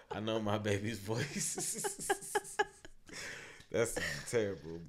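A man's voice: fairly low pitch, wildly swooping pitch, extremely slow. Loose profane podcast co-host man. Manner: loose, profane, rambling with many fillers. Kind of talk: casual podcast banter.

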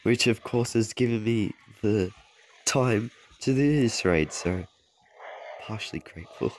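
A young man with a deep, low voice talks over his own mobile game footage in a loose, rambling way. He corrects himself, trails off and chuckles as he goes. His voice sounds a bit off because he is sick, and faint noise sits behind him. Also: a little slow. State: voice off from being sick. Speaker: mobile game channel host. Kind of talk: gameplay commentary over a screen recording.

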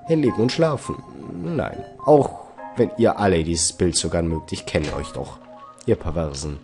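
A man's voice: low-pitched, lively intonation, unhurried, darkish tone. A man with a cold, making wry asides while he plays through a role-playing game. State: has a cold. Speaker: let's player. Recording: faint game music behind.

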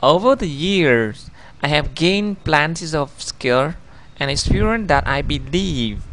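Vietnamese-accented man, 30s, gravelly voice, slightly high, halting self-corrections, faint background hum. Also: fairly bright tone, rough recording. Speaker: job applicant. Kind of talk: video CV voice-over over slides.

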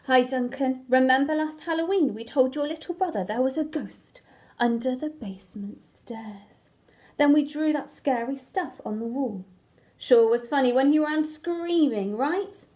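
A woman voicing a frightened, nervous glow-in-the-dark crayon from a storybook, hushed in places. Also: high-pitched, unhurried. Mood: scared. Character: terrified glow-in-the-dark crayon stuck in a basement.

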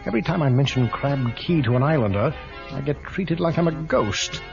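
A man's voice: mid-range voice, animated, moderate pace, creaky. A suave secret agent trading dry quips. His voice sits in an ordinary middle register. It comes through a muffled old tape with music under it. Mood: wry. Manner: dry, wisecracking asides. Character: suave British secret agent, cool and wry. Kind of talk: 1980s storybook record acting.

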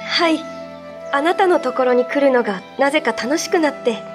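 A woman playing a gentle, mysterious young spirit in human form, speaking politely in a radio drama. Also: high-pitched, expressive, normal speed, music in the background. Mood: shy, happy.

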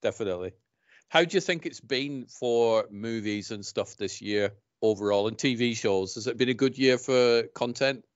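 A man's voice: mid-range voice, moderate pace, no room sound. A man in his forties hosting a podcast, asking his guest friendly prompting questions over a headset from a home studio. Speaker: podcast host. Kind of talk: casual podcast interview chat. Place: home studio room, indoors. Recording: dry, slightly dull call audio.

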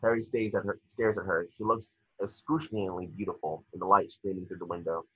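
A man with a husky voice reading screen directions as narrator at a table read over a video call. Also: low-pitched, fairly even intonation, brisk, fairly bright tone, no echo. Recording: muffled lo-fi video call audio.

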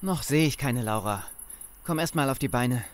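Man playing a practical, mid-pitched survivor. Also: lively intonation, moderate pace, a little hiss or hum, lightly acted. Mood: concerned. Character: practical, cautious male survivor companion. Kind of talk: German audio drama acting.

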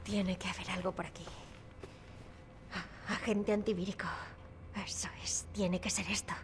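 A woman's voice: mid-range voice, little pitch movement, measured pace, noisy background, lightly acted. A young woman with a Castilian Spanish accent acting a brave, protective survivor in a video game, earnest and urgent. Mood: soft, protective. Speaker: game voice actor.

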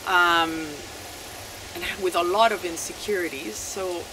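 A woman in her thirties, originally from Chile, speaks softly and haltingly, pausing often and restarting her sentences. She sits outdoors in a garden, picked up by a camera a few meters off. Steady outdoor noise sits clearly behind her voice. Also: lowish voice. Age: 30s. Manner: soft-spoken, halting, many fillers and restarts. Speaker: interviewee sharing her testimony. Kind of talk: personal testimony, unscripted. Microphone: camera mic at a few meters, seated.

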